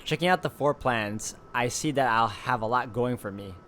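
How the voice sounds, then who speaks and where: A man's voice: mid-range voice, normal speed, noisy background. A man hosting a let's play, reading visual novel narration aloud and dropping in casual asides.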